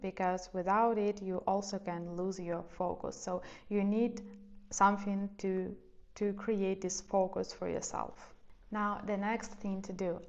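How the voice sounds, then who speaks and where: A young woman who is not a native English speaker talks gently to camera, sharing advice in a soft, reflective way and hedging as she goes. Her voice sits at an ordinary middle pitch. The audio is a little dull and muffled. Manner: soft, reflective, hedging with "let's say" and "I don't know". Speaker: lifestyle vlogger. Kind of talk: casual advice talk to camera.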